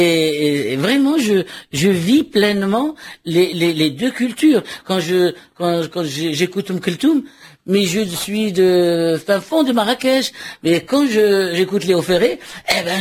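Husky, halting male novelist. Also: high voice. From Morocco. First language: Arabic and French. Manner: halting, repeats words, laughs often. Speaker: novelist guest. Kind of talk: radio interview.

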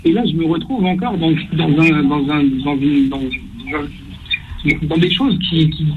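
A man in his thirties from Algeria calls in to a radio show. He speaks in a somewhat high voice, halting and repeating words as he searches for what to say. There is faint noise on his line. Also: phone-quality sound. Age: 30s. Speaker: caller. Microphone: phone line.

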